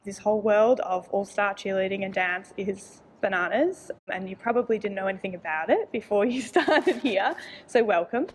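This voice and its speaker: A woman in an interview speaking softly and encouragingly. Her pitch sits in the middle. A faint room noise sits behind her voice. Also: moderate pace, poor audio. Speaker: former club president.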